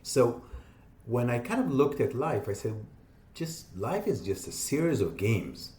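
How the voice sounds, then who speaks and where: A man in his fifties from Israel, a podcast guest explaining his ideas in an animated, conversational way. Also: mid-range voice. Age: 50s. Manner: animated, explaining with quick asides and laughs. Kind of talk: casual interview talk. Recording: echoey room.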